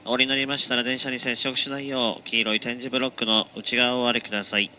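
Sharp-toned male train conductor. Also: mid-range voice, flat delivery, fast talker, muffled recording, a little hiss or hum. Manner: formal, polite, routine recitation. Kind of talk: live public-address announcement on a train.

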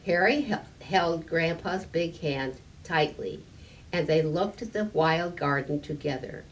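A woman in her eighties reading a children's storybook aloud, haltingly, now and then repeating a word. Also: deep voice, lively intonation, a little hiss or hum, poor audio. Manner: halting, stumbling and repeating words. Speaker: story time reader. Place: library sitting area indoors.

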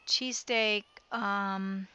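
Bright-voiced woman chatting through gameplay. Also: medium pitch, steady tone, drawn-out words, clear, resonant tone. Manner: chatty, thinking aloud while directing her characters. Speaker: let's play host. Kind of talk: casual gameplay commentary.

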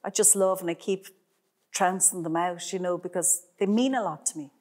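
A woman's voice: fairly low pitch, fairly even intonation, moderate pace, darkish tone. A middle-aged woman, a painter, chatting in a gallery, rambling with many restarts and fillers. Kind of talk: casual conversation.

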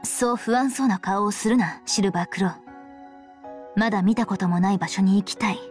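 Actress as young female armoured commander, composed, formal, authoritative, measured delivery, anime game, background music. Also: mid-range voice, darkish tone, lightly acted. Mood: calm, resolute. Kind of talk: Japanese visual novel acting and narration.

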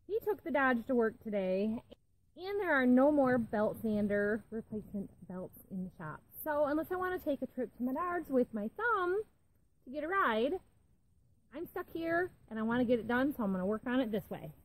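A woman in her forties chats away as she works outside by a barn, thinking aloud in a slightly high voice. The sound comes from a camera set up nearby, with faint outdoor noise behind her. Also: lively intonation, normal speed, low-quality recording. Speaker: homesteading channel host. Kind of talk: casual talk while working. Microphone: camera on a tripod nearby.

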